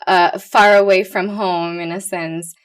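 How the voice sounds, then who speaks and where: A woman's voice: on the low side, level tone, unhurried, clean tone. A woman in her thirties with a Puerto Rican accent, speaking candidly and playfully as a guest expert in a casual podcast interview. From Puerto Rico. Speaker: guest expert, pediatric liver doctor.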